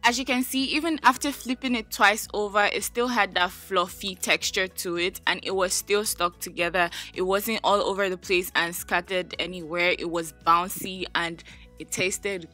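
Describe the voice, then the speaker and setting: A woman's voice: medium pitch, steady tone, moderate pace, bright, forceful tone, soft background music. A young woman talking through a cooking vlog in her home kitchen, chatty and sassy with playful asides. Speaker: lifestyle vlogger. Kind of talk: casual cooking vlog with voice-over.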